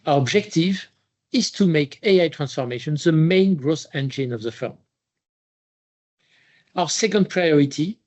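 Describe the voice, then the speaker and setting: Male chief executive, slightly high voice, formal and measured delivery of a prepared company update. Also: a little mellow. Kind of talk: scripted earnings presentation.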